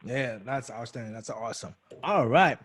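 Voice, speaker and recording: A young man hosting a casual interview, eager and quick to react with praise. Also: mid-range voice, animated, fairly bright tone, a little hiss or hum. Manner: enthusiastic, quick to react with "awesome" and laughs. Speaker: host.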